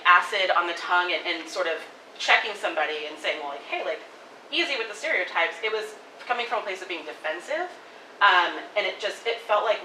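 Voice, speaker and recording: A woman giving spoken feedback at a live table reading, talking in a chatty, animated way full of "like". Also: lowish voice. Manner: animated, fast, many "like" fillers, laughing. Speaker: audience member giving feedback. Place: coffee shop event room. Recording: echoey room, far from the mic, faint noise.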